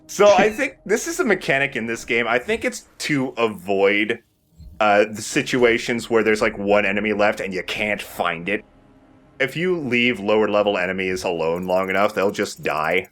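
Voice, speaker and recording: A man's voice: lowish voice, moderate pace, faint music behind. A man giving casual let's play commentary, explaining things in a conversational way as he plays. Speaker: let's play host, playing the game. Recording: noisy mic with steady background noise.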